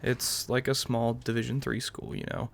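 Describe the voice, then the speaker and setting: A man's voice: medium pitch, level tone, normal speed, creaky. A young man giving casual let's-play commentary as a gaming video host, musing aloud in a rambling way over game music. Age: young adult.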